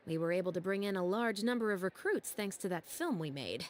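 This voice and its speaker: A woman voicing a dry, by-the-book female patrol captain in a video game, wry and measured in manner. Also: medium pitch, lively intonation, natural voice. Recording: game audio with faint music. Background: soft game music.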